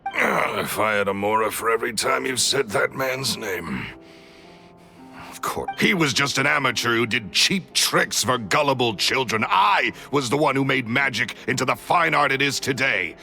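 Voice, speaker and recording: A bitter, jealous magician villain for a video game. His voice is gravelly and sharp, and his pitch swings widely between sneers and low, heavy resignation. Soft music plays underneath. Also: lively intonation, moderate pace, heavily acted. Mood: scornful. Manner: scornful, self-pitying, dramatic swings in pitch. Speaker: villain confessing.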